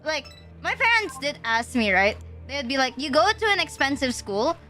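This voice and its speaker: Young woman streamer, high bright voice, rambling casual chat, faint music underneath. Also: sing-song, normal speed. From Philippines. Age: young adult. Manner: rambling, filler-heavy, slangy.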